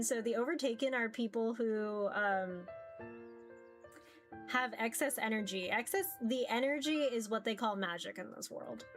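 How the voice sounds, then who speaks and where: Woman streamer chatting haltingly over music. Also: a little high. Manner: rambling, halting, peppered with "like". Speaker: streamer playing visual novels. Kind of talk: casual livestream chat, reading game text aloud. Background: background music.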